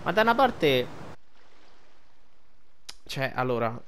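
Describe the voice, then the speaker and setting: A man's voice: medium pitch, sing-song, slow, a bit bright, a little hiss or hum. A man giving casual, blunt, slangy commentary as he plays a video game.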